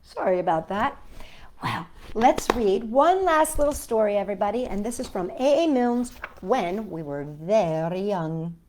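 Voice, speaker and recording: A woman's voice: medium pitch, lively intonation, measured pace, darkish tone, a little hiss or hum. A woman hosting a storytime for young children, talking in a sing-song way as she chats and reads to her little audience. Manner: sing-song, addresses children and a puppet or pet companion. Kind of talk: warm-up chat and picture-book reading for young children.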